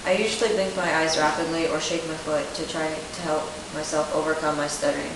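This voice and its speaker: A young woman plays a self-conscious teenage client in a role-played therapy session, her voice low. She reads her answers steadily, as if from a script. The sound is roomy and distant, with a constant hiss behind it. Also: brisk. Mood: earnest. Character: self-conscious eighteen-year-old woman client who stutters. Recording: echoey room, distant mic, steady hiss.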